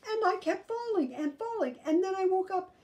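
An excitable little girl bear cub from a storybook, acted out by a woman reader, pitched very high. The voice swoops with drawn-out, wailing exclamations and sounds easily frightened. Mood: upset.

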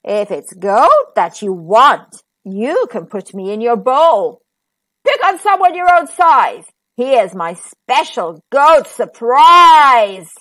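A woman's voice: a little high, very animated, slow, a bit bright, no room sound, full character voice. A woman doing a huge fierce goat. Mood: defiant. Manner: bold and defiant.

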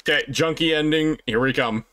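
A man's voice: fairly high pitch, fairly quick, a bit bright, a little hiss or hum. A young man chatting casually with friends as he plays through a game. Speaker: gaming video host. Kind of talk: casual let's play chat with friends.

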